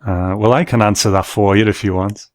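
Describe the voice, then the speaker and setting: Low-voiced man interviewing, dry close sound. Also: fairly even intonation, brisk, no echo. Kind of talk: casual interview conversation.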